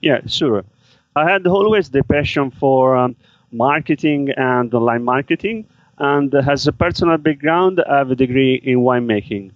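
A man answers questions in halting, careful English. The audio is dull and muffled, like a remote call, and very dry. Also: mid-range voice, no echo. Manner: halting non-native English with fillers. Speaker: guest, business seller. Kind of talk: interview answers.

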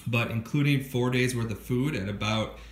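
A man's voice: medium pitch, normal speed. A young man casually talking through his hiking gear, listing items with lots of ums and restarts. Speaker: hiker showing his gear. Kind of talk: casual gear walkthrough voice-over. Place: indoors at home, filming gear on a floor.

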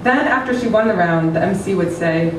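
A woman's voice: mid-range voice, a little fast. A woman in her thirties giving a dramatic reading of a comic novel from a stage. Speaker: reader at a literary event. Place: stage with curtains before an audience. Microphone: microphone on a table stand. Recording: very echoey hall, distant, clearly noisy.

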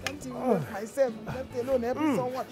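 A distraught mother wails and pleads in a high voice, played by a woman. Music plays loudly under her. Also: a little fast, close to an everyday voice. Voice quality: wailing, pleading. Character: distraught Nigerian mother, middle-aged. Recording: music playing behind.

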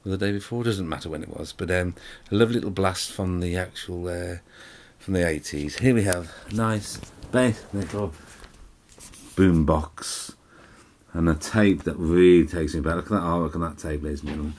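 A man's voice: low voice, moderate pace, a little mellow, slight background noise. A middle-aged English man vlogging from his living room sofa, chatty and rambling with lots of fillers and restarts. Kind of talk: casual vlog chat to camera. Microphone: camera in front, close framing.

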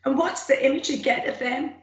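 A middle-aged woman with a rough, gravelly throat, giving an earnest, plain-spoken personal testimony from her notes on a video call. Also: sits high, fairly even intonation, a little fast. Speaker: former smoker speaking at a roundtable. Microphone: computer mic on a video call. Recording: echoey room, faint noise.

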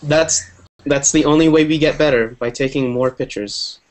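A man's voice: a little high, moderate pace, rough recording. Young guy teaching a video call class, upbeat, says cool a lot, earphone mic. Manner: upbeat, encouraging, repeats "cool". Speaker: English teacher. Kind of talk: online group class.

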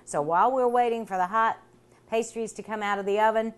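A woman's voice: fairly high pitch, steady tone, fairly quick. An older woman hosting a cooking show in a home kitchen, talking in a friendly, upbeat way.

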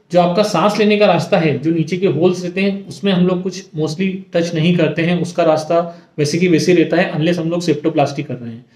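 A man in his thirties, a plastic surgeon, calmly explaining to the camera with frequent pauses and fillers. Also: high-pitched, reverberant. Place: indoor room. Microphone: camera at close range.

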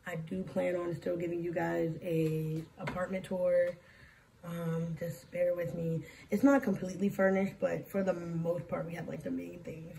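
Young woman w a hoarse gravelly voice, rambly casual vlog chat, phone a bit far, light hiss. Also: lowish voice, darkish tone. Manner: rambling, filler-heavy, talks with her hands. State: hoarse, losing her voice. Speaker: vlogger and daycare lead teacher. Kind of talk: casual chatty life-update vlog. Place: small room indoors. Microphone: phone camera at arm's length.

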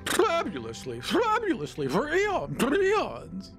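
Man putting on a high, rambling jellyfish creature. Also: huge pitch swings, slow, fairly bright tone, faint music behind, heavily acted. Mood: dreamy, reverent. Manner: rambling, repetitive, fawning. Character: doddering, eager, floating jellyfish monster, a flumph.

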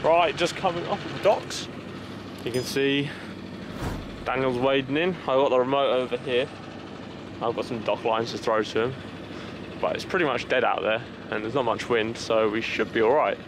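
A young man talks through his sailing day in an easy, chatty running commentary at a medium pitch. He is recorded outdoors on an action camera. Wind, water and boat noise sit clearly behind his voice. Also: moderate pace. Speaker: sailing vlogger. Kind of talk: casual vlog narration. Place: outdoors on a sailboat and boat ramp.

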